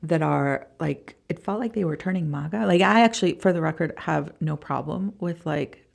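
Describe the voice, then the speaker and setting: A woman from Costa Rica speaking as a podcast guest in a casual, halting, emphatic interview style. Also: deep voice, gravelly. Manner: informal, halting, emphatic with repeated words. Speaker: podcast guest, venture fund founder.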